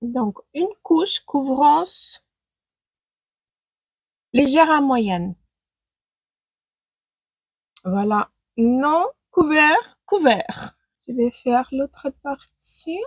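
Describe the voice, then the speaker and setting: Ukrainian-accented woman vlogger, unhurried and a little hesitant, dry close sound. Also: high voice, expressive, no room sound, poor audio. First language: not French. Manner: chatty, hesitant, thinking aloud while applying makeup. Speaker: beauty vlogger. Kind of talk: makeup tutorial, casual talk to camera. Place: home room with daylight.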